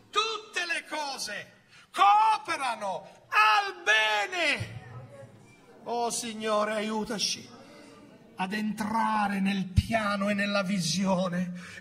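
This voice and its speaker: A man in his fifties preaching a sermon from a church pulpit, swinging from loud exclamations to hushed storytelling. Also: extremely high pitch. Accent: Italian. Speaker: pastor. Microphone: pulpit microphone on a stand.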